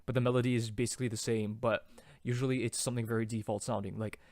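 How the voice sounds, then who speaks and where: A man's voice: medium pitch, flat delivery, fast, darkish tone, dry recording. Chatty man with a very dry close sound. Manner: loose and chatty, many fillers and restarts. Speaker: vocal harmony tutor. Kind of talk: casual harmony tutorial.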